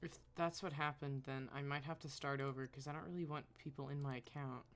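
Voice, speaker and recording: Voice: mid-range voice, steady tone, a little fast, a bit bright. A game streamer chatting casually and rambling while thinking aloud on a live stream.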